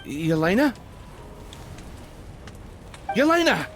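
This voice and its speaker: An actor playing a guilt-ridden, grieving man in a dramatic video game, speaking in a halting, pleading, emotional way. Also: sits high, sing-song, fairly bright tone, a little hiss or hum, heavily acted. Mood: anguished calling. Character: grieving, guilt-ridden man mourning his lost sister.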